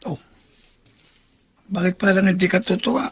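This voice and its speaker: An actor playing an encouraging, plain-spoken fellow prisoner in a Filipino radio drama, with a very raspy voice. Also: male voice, high-pitched, steady tone, moderate pace, exaggerated voice. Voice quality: very raspy, gravelly.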